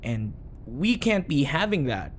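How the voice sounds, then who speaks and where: A man's voice: medium pitch, sing-song, measured pace, slight background noise. A man playing an awkward, eager, obsessive bodyguard sidekick who rambles and corrects himself in an audio roleplay. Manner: rambling, flustered, self-correcting.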